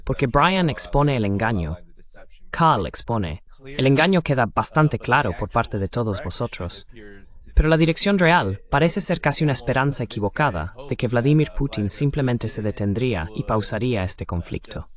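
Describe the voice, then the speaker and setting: A man's voice: mid-range voice, very animated, normal speed. A man hosting a political panel discussion, heard in a dubbed voice-over. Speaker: host.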